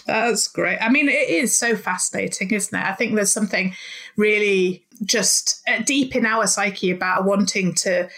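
A woman from Leeds with an English accent, mostly southern with faint Yorkshire traces, hosting a podcast in an informal, giggly, expressive way. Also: medium pitch, normal speed. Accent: English, mostly southern with faded Yorkshire traces. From Leeds, Yorkshire, England. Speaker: podcast host. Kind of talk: chatty podcast interview.

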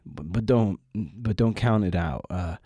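A man's voice: lowish voice, measured pace, mellow, breathy edge, no echo. A man hosting a podcast, chatting casually in a rambling, informal way with playful asides. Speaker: podcast host. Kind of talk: casual interview and outro chat.

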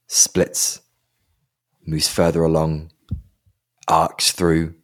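A man narrating a tabletop role-playing game as game master, in slow, dramatic descriptive passages. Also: a bass voice, monotone.

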